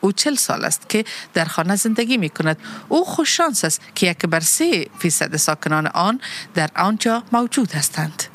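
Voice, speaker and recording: A woman co-hosting a community podcast, reading translations of the host's script at a brisk clip. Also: on the low side, lively intonation, a bit bright.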